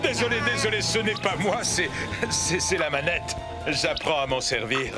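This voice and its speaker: Man voicing an excitable, theatrical cartoon film director, high-pitched. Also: moderate pace, close to an everyday voice. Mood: flustered, apologetic. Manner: theatrical, animated. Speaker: voice actor. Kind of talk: French cartoon dubbing. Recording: music behind the voice.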